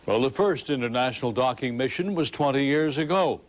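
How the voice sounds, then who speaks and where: A man anchoring a news broadcast, narrating reports and interviewing a guest in a measured, polished delivery. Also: medium pitch, lively intonation.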